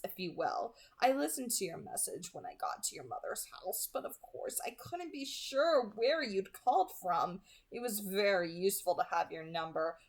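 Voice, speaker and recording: Woman reading as a smug, genteel male vampire hunter. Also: mid-range voice. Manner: genteel, sarcastic, taunting. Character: gloating, mockingly polite male vampire hunter.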